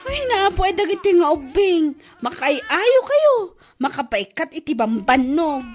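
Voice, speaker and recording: Casting: sharp-tongued comedy-drama scold played by a woman, very high voice, theatrical delivery. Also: sing-song, measured pace, faint music behind, exaggerated voice. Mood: mock pleading. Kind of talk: Ilocano comedy audio drama.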